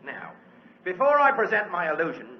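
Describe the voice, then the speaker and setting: A man playing a pompous, flustered stage magician with florid, halting showman patter in 1940s radio style. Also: high-pitched, big pitch swings, vocal fry, exaggerated voice. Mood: grandiose. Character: pompous, flustered music-hall stage magician addressing his audience. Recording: echoey, dull, noisy old radio recording.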